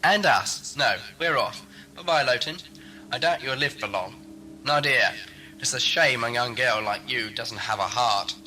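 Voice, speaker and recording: A man's voice: medium pitch, measured pace, bright, forceful tone, faint music behind. A man playing an eccentric, scatterbrained time-traveller in an audio drama, wry, breezy and flippant. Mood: grave, cutting. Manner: wry, breezy, talking to himself. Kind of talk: fan audio drama acting.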